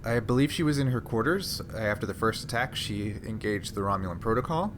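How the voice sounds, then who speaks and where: A man's voice: lowish voice, expressive, brisk, noisy background, close to an everyday voice. A man playing an anxious, earnest female starship first officer in a tabletop game, with a hesitant, plain delivery. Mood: uneasy, cooperative.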